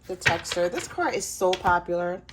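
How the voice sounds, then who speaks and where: A woman with a hoarse voice giving a chatty tarot reading straight to her viewers. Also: lowish voice, normal speed, very noisy. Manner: relaxed, chatty, addresses viewers directly. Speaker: tarot reader.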